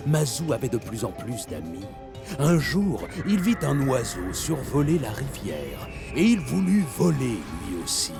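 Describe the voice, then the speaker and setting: Gentle storybook narrator, man. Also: medium pitch, huge pitch swings, unhurried, gravelly, over music.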